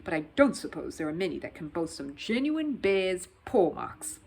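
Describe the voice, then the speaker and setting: Woman putting on a booming, pompous old gentleman. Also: very animated, normal speed, vocal fry, breathy edge, exaggerated voice. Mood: hearty. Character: blustering pompous older gentleman factory owner, booming yet kindly. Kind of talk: children's storybook character voice.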